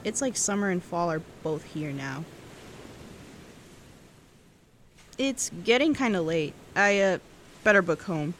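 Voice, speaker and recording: A woman's voice: fairly low pitch, expressive, moderate pace, slight background noise, close to an everyday voice. A woman playing a wistful, awkward seventeen-year-old girl who muses hesitantly into an audio diary in a fiction podcast. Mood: casual, reflective. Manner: reflective, hesitant, self-correcting teenage musing. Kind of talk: intimate first-person cassette diary narration. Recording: music under the voice. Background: guitar music.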